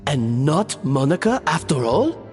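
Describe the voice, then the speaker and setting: A woman's voice: low-pitched, very animated, measured pace, darkish tone, long reverb tail, faint music behind. A woman playing an earnest, concerned young colleague in an anime adventure game, speaking expressively. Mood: grave.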